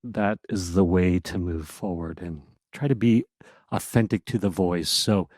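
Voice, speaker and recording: An outgoing man with a fairly low, powerful voice that projects, chatting as a podcast guest. He is animated and laughs often, and the sound is close and dry with no room echo. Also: a little slow. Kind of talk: casual interview conversation.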